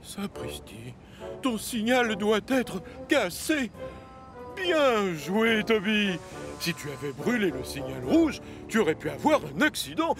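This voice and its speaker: A man's voice: sits high, sing-song, slow pace, over music, heavily acted. A man playing a fussy, pompous railway boss in a children's cartoon, formal and lecturing. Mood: approving.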